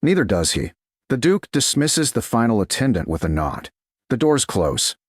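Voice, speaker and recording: A man narrating a scripted romance story in a measured, deliberate way, with short dramatic phrases. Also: mid-range voice, sing-song, slightly rough, no echo, studio quality.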